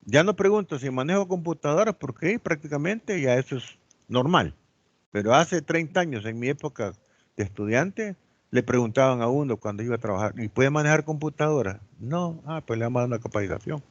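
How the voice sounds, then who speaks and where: Animated Salvadoran lecturer in his sixties. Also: mid-range voice, brisk, no room sound. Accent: Salvadoran Spanish. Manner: animated, tells personal stories, asks rhetorical questions. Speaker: economics lecturer. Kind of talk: university lecture with questions. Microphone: online class audio. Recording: dull lo-fi audio.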